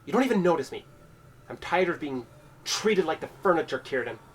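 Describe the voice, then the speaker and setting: A man's voice: fairly high pitch, expressive, brisk, a little hiss or hum, natural voice. An actor playing a resentful, unhinged young man in a horror audio drama, with an accusatory, menacing manner. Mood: bitter, resentful.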